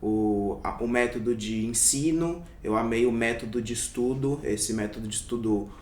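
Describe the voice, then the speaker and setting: Young adult man from Brazil, interview guest; hesitant and reflective, mid pitch, a bit distant from the mic in a roomy space. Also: moderate pace, darkish tone. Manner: reflective, many hesitations and fillers. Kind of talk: casual interview. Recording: echoey room, somewhat off-mic, faint rumble.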